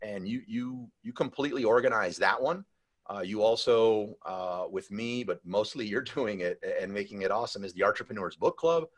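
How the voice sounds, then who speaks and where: A man with a fairly low voice hosts a casual podcast. He talks loosely and rambles, doubling back often and filling gaps with "like." He sounds relaxed and conversational. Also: moderate pace, slightly rough. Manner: loose and rambling, with many restarts and "like". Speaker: podcast host.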